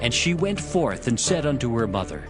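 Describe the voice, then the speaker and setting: Man narrating bible audio drama, expressive and measured, big swings in pitch, music behind him. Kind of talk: scripted dramatized scripture narration. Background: prominent background music.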